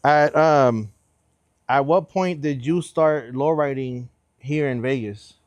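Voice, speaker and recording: A man acts as interviewer in a casual, friendly chat. His voice has an average male pitch and is recorded dry, with little room sound. His wording is loose and slangy. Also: slow pace, a little mellow, dry recording. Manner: informal, slangy. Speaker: host, interviewer.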